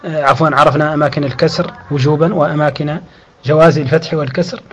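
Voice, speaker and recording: A man teaching Arabic grammar, explaining slowly with drawn-out pauses and restarts, and reciting quoted verses. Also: a little high, steady tone, muffled recording, a little hiss or hum. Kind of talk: lecture explaining a grammar text.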